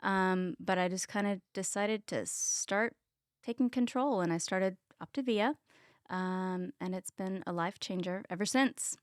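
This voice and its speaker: A woman's voice: medium pitch, unhurried, dry recording, studio quality. A Texan woman in her thirties, chatting casually as a health coach guest, with lots of ums. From Texas. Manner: chatty, many ums, laughs at herself. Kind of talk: casual interview chat.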